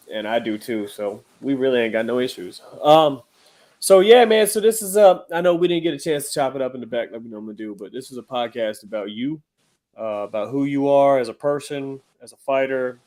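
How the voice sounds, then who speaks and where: A man's voice: medium pitch, brisk, darkish tone. A man in his thirties hosting a podcast, chatting casually with slang and frequent "uh" fillers. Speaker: podcast host. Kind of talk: casual interview chat.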